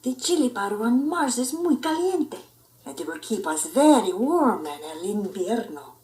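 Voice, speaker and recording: A woman's voice: a little high, very animated, normal speed, darkish tone, distant mic, a little hiss or hum. A woman voicing the leader of a band of little chihuahuas, theatrical and peppering the talk with Spanish. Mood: explaining. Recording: roomy indoor echo.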